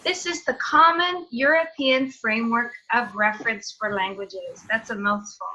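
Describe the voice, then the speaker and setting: Bright-voiced woman leading an online seminar. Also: fairly high pitch, moderate pace, muffled recording, slight background noise. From Canada. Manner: friendly, explanatory, with filler sounds. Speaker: teacher trainer. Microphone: video call.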